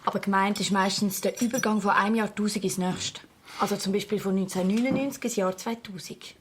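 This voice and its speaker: A woman's voice: mid-range voice, steady tone, fast, slight background noise, natural voice. A woman playing an easygoing mother with a Swiss accent in a children's radio play, talking naturally. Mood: relaxed, fond. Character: easygoing mother of twins, gently amused.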